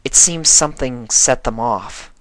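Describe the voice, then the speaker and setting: A storyteller voicing an earnest, businesslike young mare who explains and instructs in a measured way. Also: medium pitch, a bit bright, no room sound, pitched above the performer's own voice, close to an everyday voice. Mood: serious. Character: earnest, businesslike, take-charge young female pony leader.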